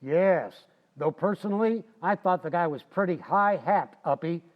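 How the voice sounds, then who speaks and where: A man's voice: high-pitched, moderate pace, natural voice. A man playing a boastful, folksy, wisecracking husband in the style of old-time radio comedy. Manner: folksy, cocky, joking. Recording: dull lo-fi, reverberant hall.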